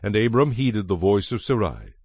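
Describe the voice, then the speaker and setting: A man giving a solemn, measured scripted narration of scripture in a dramatized style. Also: on the low side, sing-song, a little mellow, husky, no echo. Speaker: Bible narrator. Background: music.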